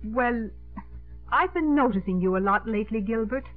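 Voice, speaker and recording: A woman's voice: a little high, animated, normal speed, close to an everyday voice. A woman acting a poised but coy and easily flustered middle-aged lady in a 1950s radio romantic comedy. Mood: coaxing. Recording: narrow-band 1950s radio, music behind.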